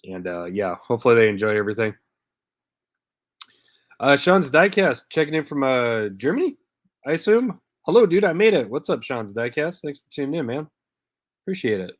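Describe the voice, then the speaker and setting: A man's voice: mid-range voice, sing-song, moderate pace, long pauses, no echo, clean studio sound. A man in his thirties hosting a live diecast car stream, stuffy from a head cold and chatting casually as he greets viewers by name. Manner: laid-back, chatty, greets viewers by name with little laughs.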